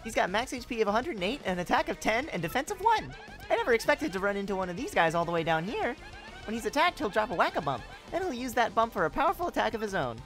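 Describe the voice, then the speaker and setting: Woman doing a perky, lively young sidekick. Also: a little high, fast, soft background music. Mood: informative, earnest. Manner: explanatory, expressive.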